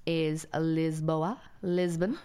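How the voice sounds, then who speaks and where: Woman, podcast co-host, low, smooth voice, gushing casual chat. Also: steady tone, extremely slow, mellow. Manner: chatty, gushing travel recommendations.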